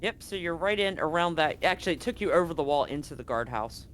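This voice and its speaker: A man running a tabletop game as game master, describing scenes slowly and deliberately. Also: a little high, animated, fairly bright tone, slight background noise. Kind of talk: narrating a tabletop horror game.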